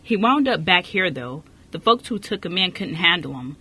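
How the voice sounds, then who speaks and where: Woman playing a stern, sharp-voiced orphanage director. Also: on the low side, very animated, moderate pace, husky, heavily acted, low-quality recording. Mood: disapproving. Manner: formal, blunt, admonishing. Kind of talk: amateur radio play acting.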